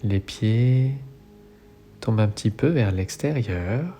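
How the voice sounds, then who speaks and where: Slow, low-voiced man guiding meditation. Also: expressive. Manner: soothing, instructional, with measured phrasing. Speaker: guided meditation instructor. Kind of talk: guided relaxation meditation, yoga nidra. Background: soft music.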